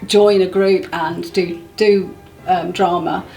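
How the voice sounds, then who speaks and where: A woman's voice: lowish voice, flat delivery, takes it slowly, creaky, warm tone, faint music behind. A woman talking casually as she is interviewed. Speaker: theatre member being interviewed.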